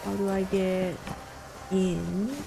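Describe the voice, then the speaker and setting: A woman with a Mandarin accent and Mandarin as her first language, chatting casually and haltingly with her chat while streaming a game. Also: medium pitch, flat delivery, gravelly, rough recording. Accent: Mandarin-accented English. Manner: halting, chatty, answers chat with many restarts. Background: game music.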